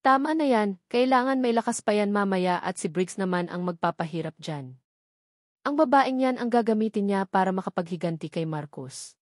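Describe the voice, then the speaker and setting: A woman's voice: mid-range voice, lively intonation, normal speed, no room sound, studio quality, natural voice. Woman acting cruel, jealous villainess, menacing and taunting. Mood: commanding. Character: cruel jealous female kidnapper, vengeful and taunting.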